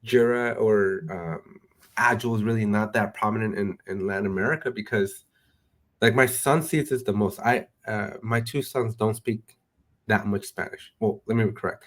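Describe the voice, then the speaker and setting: A middle-aged man hosting an interview, relaxed and conversational, chuckling often. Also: lowish voice, normal speed. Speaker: host. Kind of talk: casual interview chat.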